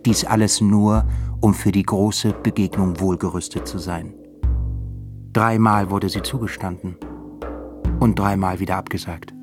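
A man narrating a literary radio play in an earnest, measured way, carrying long sentences steadily. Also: sits low, fairly even intonation. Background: instrumental music.

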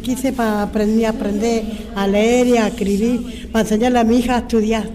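A woman's voice: on the high side, steady tone, normal speed, mellow. An Argentine woman, an adult student, chatting in short, simple, repeated phrases. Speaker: adult literacy student, interview guest. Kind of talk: casual chat. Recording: reverberant room.

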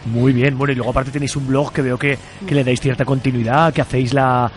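A man's voice: medium pitch, flat delivery, quick pace, soft background music. A man with a Spanish accent from Spain hosting a casual radio interview in an informal, chatty way. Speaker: radio show host interviewing guests.

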